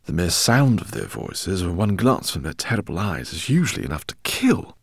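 A man voicing an excitable, eccentric older male professor who speaks in effusive, fussy exclamations. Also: low-pitched, normal speed, husky, no echo, clean studio sound, voice pushed up, full character voice. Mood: explaining. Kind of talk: audiobook character reading.

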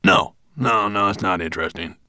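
Huge snarling golden dragon, bad-tempered and bellowing. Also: male voice, deep voice, moderate pace, sharp tone, clean tone, no echo, studio quality. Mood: imperious. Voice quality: growling, snarling. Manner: barking, imperious, insulting. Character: huge, vain, irritable male golden dragon, a bullying master.

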